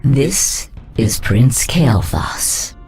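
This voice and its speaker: A man voicing a loyal serpent-like naga attendant in a video game, measured, formal and reverent. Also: medium pitch, sing-song, a little mellow, husky, faint music behind, full character voice. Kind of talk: dramatic video game voice acting.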